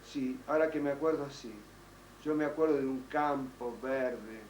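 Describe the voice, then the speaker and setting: Older man, halting testimony, roomy and distant. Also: medium pitch, a little mellow. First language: French. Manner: halting, many restarts and fillers. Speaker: interviewee, child survivor. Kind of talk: recorded oral history testimony. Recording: roomy, distant, faint noise.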